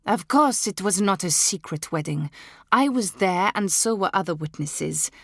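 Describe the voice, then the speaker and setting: A woman's voice: medium pitch, lively intonation, normal speed, dry recording, studio quality. A woman reading the part of a proud noblewoman mother who answers with sly, biting wit. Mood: sly, sharp.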